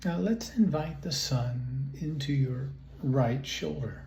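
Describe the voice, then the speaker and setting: A man leading a guided relaxation meditation, speaking in calm, soothing, measured phrases with long pauses. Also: medium pitch, vocal fry. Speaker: guided imagery and relaxation instructor. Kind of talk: guided meditation, slow spoken instructions. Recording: roomy echo, faint background noise.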